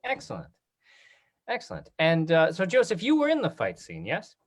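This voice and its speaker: Woman host, lowish voice, very varied intonation, encouraging, question-led video call interview. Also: wildly swooping pitch, normal speed. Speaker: host, moderator.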